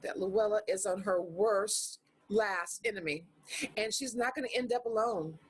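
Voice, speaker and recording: A woman's voice: medium pitch, measured pace, breathy edge. A woman playing a blunt, taunting goddess who reads fortune cards in a comedy screenplay reading. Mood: smug, taunting. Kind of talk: comedy screenplay table read. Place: online video call reading.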